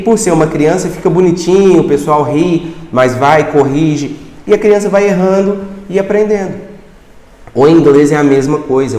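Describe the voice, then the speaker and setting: Man, casual teacher, slightly high voice, long reverb. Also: darkish tone. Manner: conversational, repeats words while searching for phrasing. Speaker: language teacher. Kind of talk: casual video lesson. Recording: echoey room with long reverb.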